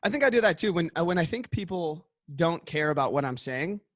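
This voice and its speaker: A man co-hosting a casual chat podcast, talking quickly with lots of "like" and "you know what I mean.". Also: a little high, animated, dry recording, studio quality. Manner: talks fast, says he hurries to get through a point. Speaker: podcast co-host.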